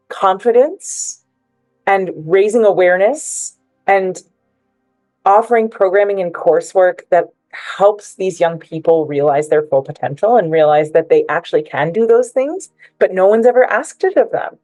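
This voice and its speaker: A woman's voice: mid-range voice, a little slow, dark tone, no room sound, faint music behind, poor audio. A woman giving prepared, persuasive remarks as a panelist at a book presentation, joining remotely.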